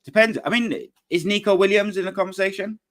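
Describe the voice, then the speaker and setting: A man hosting a casual football podcast, talking in a relaxed, hesitant way that often trails off quietly. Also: sits high, fairly quick. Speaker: podcast host.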